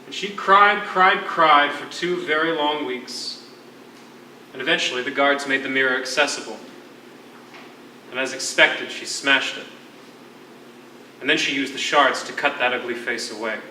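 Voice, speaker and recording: A stage actor playing a world-weary, wry imprisoned writer with a wordy, formal, sardonic manner. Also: male voice, mid-range voice, measured pace, pauses often, sharp tone. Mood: grim storytelling. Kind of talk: theatrical stage acting. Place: on a stage in a hall. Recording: echoey theatre stage, distant mic, faint noise.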